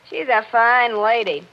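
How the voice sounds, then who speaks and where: An actress in a 1940s radio drama playing an impudent, mocking housemaid, on an old recording. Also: female voice, fairly high pitch, lo-fi sound. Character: cheeky, scornful female housemaid who mimics visitors.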